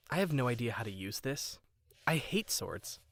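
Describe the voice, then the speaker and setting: A man's voice: mid-range voice, big pitch swings, unhurried, a bit bright, dry recording, full character voice. A man acting a playful, self-doubting young Avatar in a fan-made visual novel, earnest and teasing. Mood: casual, friendly. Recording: background music throughout.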